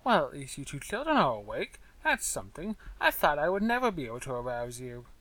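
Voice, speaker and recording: A man voicing a brisk, impatient little male mouse in a storybook, with a small, clear, high-pitched voice and fussy, formal diction. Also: sing-song, bright, forceful tone, no echo, poor audio.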